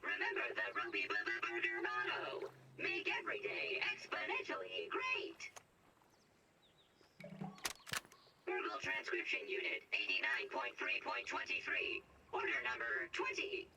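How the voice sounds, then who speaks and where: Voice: mid-range voice, wildly swooping pitch, normal speed, gravelly, far from the mic, over music, heavily acted, poor audio. An actor voicing a polite, fussy burger-flipping robot, with a processed synthetic voice, formal wording and glitchy stutters, in a game audio log. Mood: cheerful, scripted. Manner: formal, literal, stuttering on words. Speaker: robot assistant.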